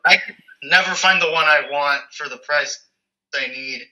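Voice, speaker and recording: A man's voice: a little high, measured pace, bright, forceful tone, low-quality recording. A man as guest in a casual interview, easygoing and stammering on the starts of sentences. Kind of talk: casual chat.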